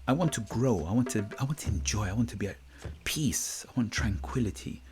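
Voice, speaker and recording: A middle-aged man from Guyana hosting a motivational podcast talk, reflective, repeating words and checking in with the listener. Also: on the low side. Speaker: podcast host.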